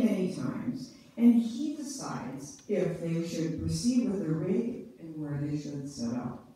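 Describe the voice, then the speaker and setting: A woman's voice: mid-range voice, warm tone. A woman giving scripted narration for an amateur stage play. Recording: echoey church hall from far off.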